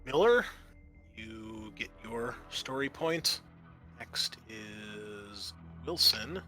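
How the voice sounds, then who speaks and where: A man hosting as game master, narrating a tabletop roleplaying game in a halting, conversational way. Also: lowish voice, lively intonation, bright, forceful tone.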